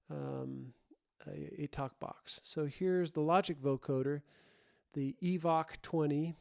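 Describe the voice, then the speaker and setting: Guy doing a casual tutorial, soft mellow clean voice, kinda halting, backs up and rephrases, really dry sound. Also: on the high side, vocal fry, smooth, no room sound. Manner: halting, thinking aloud, self-correcting. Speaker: host, audio production tutorial presenter. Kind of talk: informal explainer tutorial.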